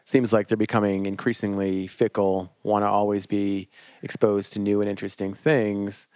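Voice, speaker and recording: A man interviewing a chef, asking measured questions and giving short affirmations. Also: on the low side, flat delivery, a little mellow, no echo, studio quality.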